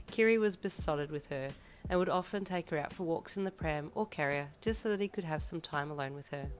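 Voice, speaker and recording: A woman with a hoarse, failing voice narrating a fanfiction story aimed at the listener. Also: sits low. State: hoarse, losing her voice. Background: soft lofi piano music.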